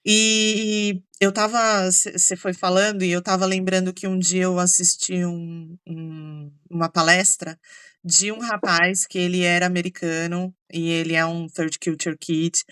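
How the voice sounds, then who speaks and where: Brazilian woman host, bright voice, dry close sound, chatty storyteller. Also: lowish voice, clear, resonant tone, no room sound. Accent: Brazilian Portuguese. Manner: chatty, storytelling with many fillers. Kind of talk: casual conversation.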